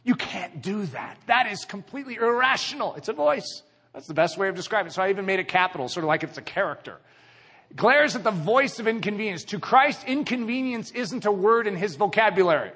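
A man preaches in a high, husky voice that swings widely in pitch. His delivery is conversational yet emphatic and dramatic, full of rhetorical questions. The sound carries the long reverb of a large hall. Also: animated, a little fast, fairly bright tone. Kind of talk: conversational evangelical sermon.

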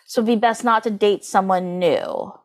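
Female podcast co-host, medium pitch, informal and conversational, lots of "like" as filler. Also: moderate pace. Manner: conversational, heavy use of "like". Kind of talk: casual astrology podcast chat.

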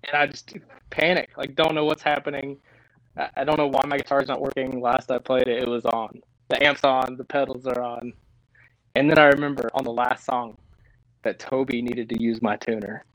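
A young man from Tennessee, a lead singer telling stories in a casual interview, animated and laughing often. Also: mid-range voice, moderate pace, dry recording, poor audio.

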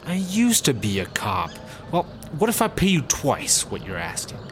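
A man's voice: a little high, huge pitch swings, normal speed, a little hiss or hum. A man playing a scheming, blustering American ex-cop in a comedic audio drama, with an American accent. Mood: sheepish, pleading.

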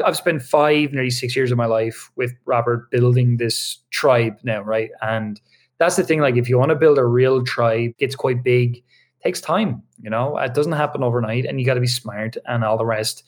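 A man chats as a guest on an interview podcast, his speech tumbling out in quick bursts. He restarts phrases and leans on fillers as he thinks aloud. His voice sits in an ordinary middle register. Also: mid-range voice. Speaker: podcast guest, online business co-founder. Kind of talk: casual interview answers. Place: home video studio with lights.

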